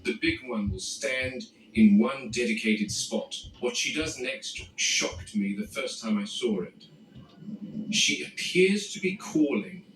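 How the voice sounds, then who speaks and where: A man playing a grave, wary animal expert who narrates found footage in measured, articulate, formal phrases. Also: mid-range voice, expressive, a bit bright. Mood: grave, explanatory. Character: male game warden and animal expert, grave, wary, exacting. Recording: echoey, noisy VHS-style found footage, distant.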